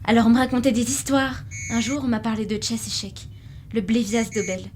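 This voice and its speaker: Young girl traveller , female actor: earnest, hesitant, higher register, sci-fi audio drama with clear background noise. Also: flat delivery, brisk, close to an everyday voice. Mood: earnest, confiding. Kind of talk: science-fiction audio drama.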